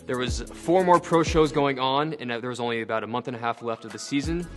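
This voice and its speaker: Young guy in his twenties, rapid easygoing interview talk over soft background music. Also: mid-range voice. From Seattle, Washington. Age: 20s. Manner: casual, lots of fillers like "like" and "you know". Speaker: bodybuilder and actor. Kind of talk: personal interview monologue. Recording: light music behind.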